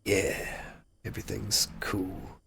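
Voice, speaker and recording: A hoarse, gravelly-voiced man chatting casually as he streams a game, rambling and thinking aloud. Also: on the low side, monotone, slow pace, sharp tone, slight background noise. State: hoarse from being unwell. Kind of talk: casual gameplay commentary.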